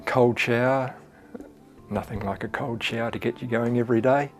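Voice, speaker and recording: Flat-voiced older man. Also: mid-range voice, normal speed, faint music behind. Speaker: church volunteer. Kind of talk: interview testimony.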